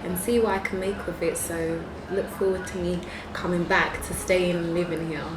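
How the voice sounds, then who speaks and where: A woman's voice: on the low side, steady tone, moderate pace. London woman in a noisy, echoey room. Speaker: interviewee, youth organisation founder and teacher. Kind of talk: conversational interview answers. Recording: echoey, distant mic, noisy background.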